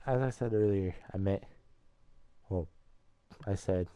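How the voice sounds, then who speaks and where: A sick man talking casually as a gamer, halting and rambling. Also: low-pitched, mellow, smooth, no echo. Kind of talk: casual gaming commentary.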